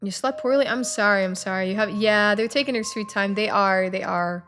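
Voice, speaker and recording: A woman's voice: mid-range voice, fairly quick, a bit bright, a little hiss or hum. A woman game streamer reading out a story game and chatting with her viewers during a live playthrough. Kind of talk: live playthrough narration and chat.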